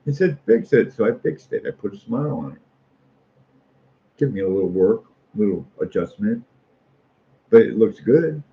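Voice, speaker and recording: Middle-aged American man, folksy and opinionated casual talker with a gravelly fry, on muffled lo-fi audio. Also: lowish voice, lively intonation, moderate pace, long pauses. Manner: folksy, opinionated, repeats phrases for emphasis. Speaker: street artist. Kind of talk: casual rambling monologue. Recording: muffled lo-fi audio with faint noise.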